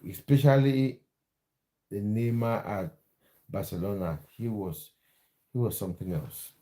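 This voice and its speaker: Streamer host, male, from Cameroon; fairly low, warm voice, relaxed chat with frequent restarts. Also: a little slow. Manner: chatty, restarts words, reads viewer comments aloud. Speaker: football livestream host. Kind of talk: casual live chat with viewers.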